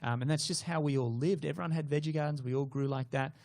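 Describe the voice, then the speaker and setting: A man's voice: fairly high pitch, steady tone, fast talker, a little mellow, dry recording. Young man, interview host, earnest and opinionated, dry sound. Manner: earnest, speaks at length on his views. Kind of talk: casual interview conversation.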